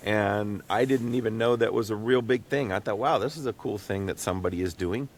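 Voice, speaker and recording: A man hosting a casual podcast, talking in a halting, rambling way and repeating words as he thinks aloud. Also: lowish voice, slight background noise. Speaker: podcast host and voice actor. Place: small home recording booth.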